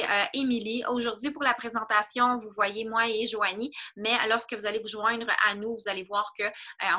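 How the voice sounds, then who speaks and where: A woman from Quebec speaks with a clear Québécois French accent, hosting an online info session as a wellness coach. Her voice is bright and forward, and her talk is casual and full of fillers and restarts. She is heard through a computer mic on a video call. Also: fairly high pitch. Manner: chatty, many fillers and restarts. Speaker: online wellness coach presenting a business opportunity.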